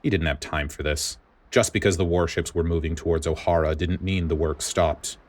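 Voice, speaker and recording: Deep-voiced man narrating a story. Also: fairly even intonation, moderate pace. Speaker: fan fiction narrator.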